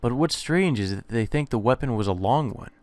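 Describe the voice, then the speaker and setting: A man voicing a polite high school boy, a priest's son with formal phrasing, reading visual novel dialogue aloud. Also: animated, brisk, darkish tone, no room sound, natural voice. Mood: serious. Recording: background music under the voice.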